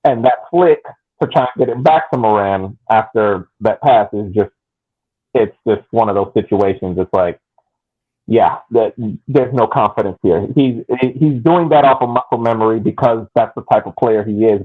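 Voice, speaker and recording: A man's voice: lowish voice, moderate pace, breathy edge. A man co-hosting a soccer podcast, breaking down the play in a thoughtful, repetitive way. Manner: thoughtful, repeats phrases for emphasis. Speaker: podcast co-host. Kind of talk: casual soccer analysis chat. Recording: tinny phone-like line.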